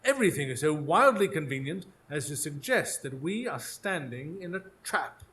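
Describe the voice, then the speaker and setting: An actor playing a shrewd Victorian gentleman detective in a live radio drama, speaking in formal, articulate deductions. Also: on the high side, sing-song, normal speed. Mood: intense, brooding. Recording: noisy live stage recording.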